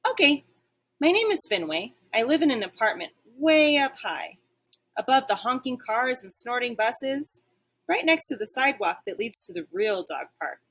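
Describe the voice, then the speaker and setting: A woman's voice: a little high, normal speed, husky, voice pushed up, heavily acted. A woman voicing a small, eager young male dog in a storybook, chatty, friendly and a bit boastful. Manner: excitable, chatty.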